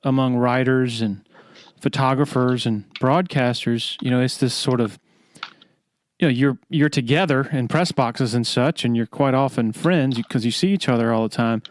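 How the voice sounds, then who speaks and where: A man hosting a podcast, chatting casually with halting, conversational phrasing. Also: mid-range voice. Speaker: podcast host, sportswriter. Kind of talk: casual interview chat.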